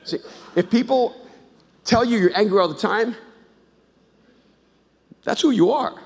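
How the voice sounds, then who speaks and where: High-pitched pastor preaching in a reverberant hall. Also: male voice, measured pace, vocal fry, slightly rough. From El Salvador. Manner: swings from loud emphatic bursts to low intimate tones; conversational storytelling with repeated restarts. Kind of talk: sermon.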